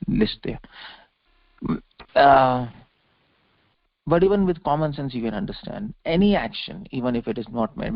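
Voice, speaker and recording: Gravelly male preacher on a muffled line. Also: mid-range voice, dry recording. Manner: didactic, repeats phrases for emphasis. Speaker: religious preacher giving a lecture. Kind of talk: extemporaneous scripture lecture with verse explanation. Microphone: conference call. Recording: muffled lo-fi conference call audio.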